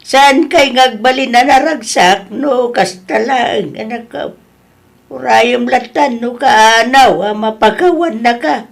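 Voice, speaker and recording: Woman playing a high-voiced, slow-talking Ilocano mother. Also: vocal fry, heavily acted. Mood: gentle, resigned. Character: older mother, plain-spoken, advising and consoling her grown child. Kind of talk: Ilocano radio-style drama acting.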